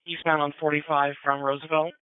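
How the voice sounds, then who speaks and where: A man's voice: on the high side, level tone, fairly quick, very noisy. A man working as a police dispatcher over two-way radio, tersely repeating reports back in clipped procedural phrases. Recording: narrow-band police scanner radio audio.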